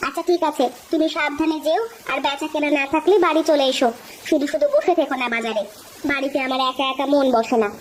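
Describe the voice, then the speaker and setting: Woman voicing a nagging caring village wife, high voice, scolding, cartoon, faint noise. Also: fairly even intonation, fast talker, natural voice. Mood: worried. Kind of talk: cartoon voice acting.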